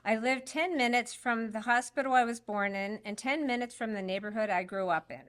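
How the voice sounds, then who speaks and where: A woman reading a prepared statement aloud for an absent candidate at a public forum, in a steady, measured way with a few small slips. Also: on the high side.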